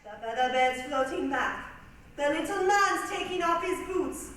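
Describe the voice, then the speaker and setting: A woman playing a sly, excitable crystal-gazing fortune-teller in theatrical stage style. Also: sits high, measured pace, recorded from a distance. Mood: excited. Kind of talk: reader's theatre stage acting. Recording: echoey stage recorded from afar, noisy.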